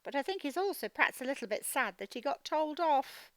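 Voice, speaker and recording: A woman teacher giving a children's read-aloud phonics lesson, speaking in an animated, emphatic, instructional way and sounding out words. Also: sits high, brisk, no room sound. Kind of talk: children's read-aloud lesson, explaining directly to young learners.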